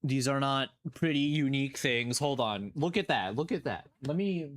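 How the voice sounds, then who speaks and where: A man's voice: mid-range voice, moderate pace. A man streaming casual reaction commentary, rambling and playful, often questioning what he watches. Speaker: streamer reacting to horror videos.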